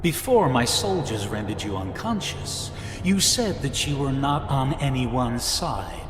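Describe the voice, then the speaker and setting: An actor playing a smug, persuasive, commanding cult leader in a video game, theatrical and condescending in manner. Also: male voice, mid-range voice, lively intonation, unhurried, close to an everyday voice. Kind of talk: dramatic video game acting. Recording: echoey hall reverb, music behind.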